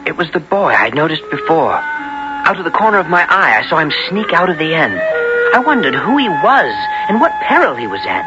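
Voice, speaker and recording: A man's voice: fairly high pitch, sing-song, normal speed. An actor playing an earnest, questioning orphaned youth who narrates his adventure in formal archaic speech, in a 1970s radio drama. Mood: earnest narrating. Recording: dull vintage radio broadcast, music behind.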